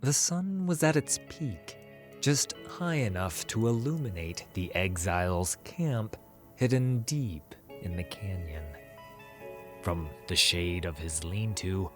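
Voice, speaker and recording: Guy narrating a fantasy tale, kinda low and slow, soft music behind. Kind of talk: scripted story narration.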